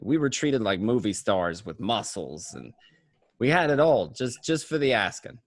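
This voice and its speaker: A man performs a worn mobster who has turned informant, looking back on the life. He talks like a streetwise guy at a kitchen table, in halting, conversational phrases. The sound is dry, with a middle-pitched voice. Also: big pitch swings, no room sound. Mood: wistful, boastful. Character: weary mobster turned informant, narrating his past. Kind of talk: first-person crime narration, acted table read.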